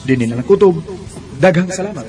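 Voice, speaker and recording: A man's voice: on the high side, lively intonation, a little fast, music in the background, lightly acted. A man with a Cebuano accent playing a wounded, indignant grown son in a Bisaya radio drama. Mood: subdued, reflective. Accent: Cebuano Filipino.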